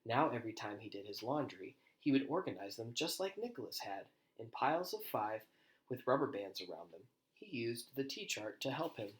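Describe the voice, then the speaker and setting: A man's voice: medium pitch, very animated, moderate pace, rough recording. Man reading a children's story aloud expressively. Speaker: storybook reader. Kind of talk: expressive children's read-aloud with quieter asides.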